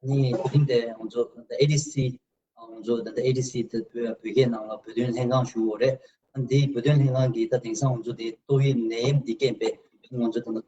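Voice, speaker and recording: Fast-talking man, muffled and distant. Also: medium pitch, fairly even intonation, warm tone. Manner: rapid, halting, with restarts and simple grammar. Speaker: community leader and guest. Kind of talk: casual self-introduction. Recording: dull, distant mic.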